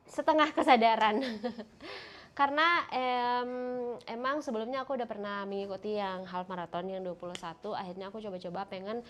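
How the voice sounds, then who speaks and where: Young woman, nasal cold voice, casual handheld vlog in a hotel room, faint ambient noise. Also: on the high side. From Indonesia. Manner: chatty, talking to viewers, pointing things out. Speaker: vlogger. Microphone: handheld phone camera.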